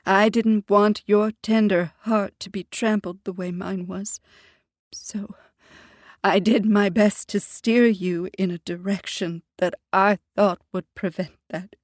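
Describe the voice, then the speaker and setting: A woman's voice: mid-range voice, fairly even intonation, slow pace, dry recording, close to an everyday voice. A woman reading the part of a proud, composed older Jamaican mother who speaks formally and lectures her daughter. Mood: earnest. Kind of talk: audiobook character voice.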